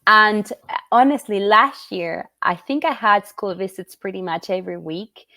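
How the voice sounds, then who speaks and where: A woman's voice: mid-range voice, moderate pace, sharp tone, no room sound. A middle-aged woman from Argentina, a children's book author answering interview questions in an earnest, thoughtful way with frequent fillers and restarts. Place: at home in Utah.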